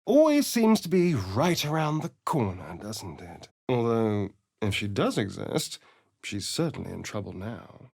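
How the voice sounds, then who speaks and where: Vain sardonic vampire rogue, british, game character, slow n dramatic, very close dry recording. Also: male voice, on the low side, very animated, vocal fry, a little mellow, slightly rough, no room sound, heavily acted. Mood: arch, amused. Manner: theatrical, drawling, arch, swooping delivery. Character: sardonic, theatrical vampire spawn rogue, male, vain and peckish. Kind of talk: video game character voice lines.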